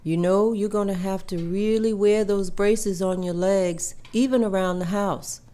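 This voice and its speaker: Woman reading firm daughter-in-law, mellow, gently insistent. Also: medium pitch, fairly even intonation, a little slow, lightly acted. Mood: concerned. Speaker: table read actor. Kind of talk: table read.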